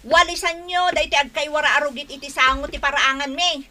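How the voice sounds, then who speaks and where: A woman's voice: high-pitched, fairly even intonation, a little fast, pushed and bright. A woman playing a scolding, proud neighbour in a radio drama.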